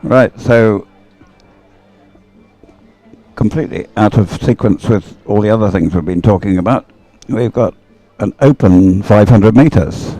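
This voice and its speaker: A man giving live race commentary in a low, very mellow voice. He thinks aloud in a hesitant, slightly puzzled way as he follows the boats. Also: a little slow, no echo, faint music behind. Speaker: sports commentator.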